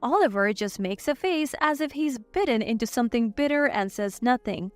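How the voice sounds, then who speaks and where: A woman's voice: on the high side, expressive, normal speed, no echo, soft background music. A woman reading a scripted first-person story as its narrator.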